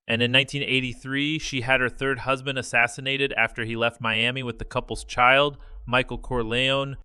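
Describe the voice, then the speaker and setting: A man reading a scripted news report in a measured, even delivery. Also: medium pitch, clear, resonant tone, dry recording. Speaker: news narrator.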